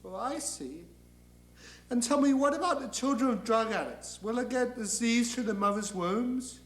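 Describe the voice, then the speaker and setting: Man playing a meek, softly doubting angel. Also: very high-pitched, normal speed, pitched above the performer's own voice. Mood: meek, doubtful. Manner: hesitant, mild, deferential questioning. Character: meek, polite angel Gabriel, male, gently questioning. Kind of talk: satirical stage monologue acting. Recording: echoey room.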